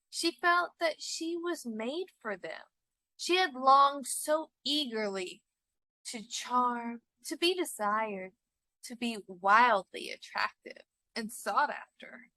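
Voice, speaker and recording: A woman reads a short story in a high, bright voice. She takes her time, shaping each phrase dramatically, and the sound is dry and close. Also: dry recording. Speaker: story reader. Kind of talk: literary short story read aloud.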